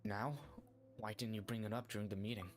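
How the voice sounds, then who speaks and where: A man's voice: on the low side, steady tone, brisk. A man casually reacting to a video game and reading its dialogue aloud as he plays. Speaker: game streamer. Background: faint game music.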